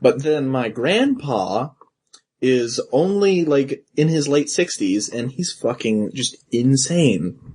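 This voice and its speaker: Young male podcast co-host, husky voice, lively pitch, loud joking banter. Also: takes it slowly. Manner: joking, loud riffing with laughs. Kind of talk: casual chat.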